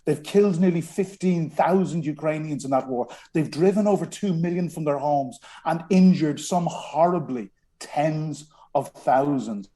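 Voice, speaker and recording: A man's voice: on the high side, measured pace, dark tone. A man with a Dublin Irish accent, a guest on a radio interview, talking earnestly with many hesitations and restarts. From Ireland. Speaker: guest, former strategic communications advisor.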